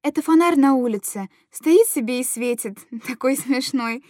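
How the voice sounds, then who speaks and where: A woman's voice: high-pitched, moderate pace, darkish tone, dry recording, studio quality. A woman playing an anguished, tender mother who coos simple, repetitive stories to her little boy in a dramatic monologue. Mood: tender, aching. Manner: cooing, repetitive, childlike storytelling to a small child. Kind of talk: dramatic literary monologue reading.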